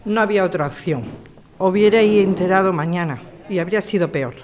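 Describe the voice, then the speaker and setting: A man acting a calm, frank campaigner with an accent from Spain. His voice is fairly high, and he talks plainly, as in an amateur radio play. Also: brisk, some chatter behind, lightly acted. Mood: frank, appreciative.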